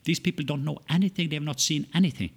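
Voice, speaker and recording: A man's voice: fairly high pitch, quick pace, creaky, husky. A middle-aged man from Norway, a ministry leader chatting in an interview, enthusiastic, laughing often and restarting sentences. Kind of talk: casual interview chat.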